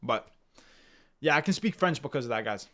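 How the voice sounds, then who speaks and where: A man's voice: animated, normal speed. A young Canadian man hosting a live match watchalong and reading chat, in loose slangy talk that often repeats himself and keeps saying "bro". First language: English. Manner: bursts loud and high when goals go in. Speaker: watchalong streamer.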